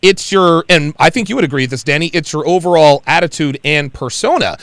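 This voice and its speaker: A man hosting a call-in advice show, talking in an emphatic, animated way and often repeating himself. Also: fairly high pitch, a little fast, dry recording. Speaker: show host.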